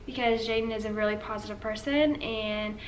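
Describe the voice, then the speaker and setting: Young woman co-host, earnest chatter, high-ish voice, faint background hiss. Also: fairly even intonation, a little fast. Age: young adult. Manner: chatty, earnest, says "like" often. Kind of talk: casual couple Q&A chat. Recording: slightly distant with faint room noise.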